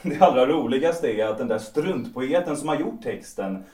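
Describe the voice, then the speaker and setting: An actor playing a proud, restless young composer and lover in a period audio drama, with a theatrical, moody, romantic delivery. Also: male voice, mid-range voice, animated, darkish tone, lightly acted. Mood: admiring, musing. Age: young adult. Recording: roomy, distant from the mic.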